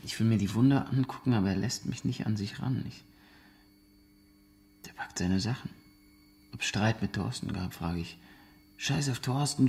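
A man's voice: mid-range voice, vocal fry, darkish tone. An actor playing a resigned, subdued man under questioning, speaking in terse, clipped sentences in a naturalistic radio drama.

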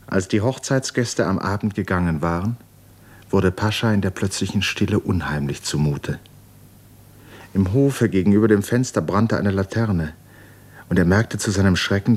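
Narrator for a literary radio play: man, very low pitch, solemn and measured. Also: a little mellow, slightly rough.

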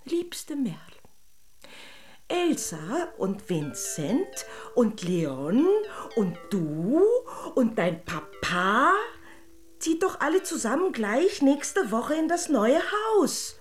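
An actress playing a haughty, dramatic but affectionate toy princess in a children's radio drama. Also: female voice, on the high side, sing-song, extremely slow, faint music behind, full character voice. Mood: hesitant. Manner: pompous, dramatic, exclaiming and lamenting.

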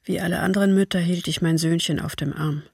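A traumatised mother and survivor speaks in a husky, creaky voice. Her testimony is grave and restrained, recorded very dry for documentary radio drama. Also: on the low side, steady tone, fast, darkish tone, dry recording, exaggerated voice. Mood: pained, grave.

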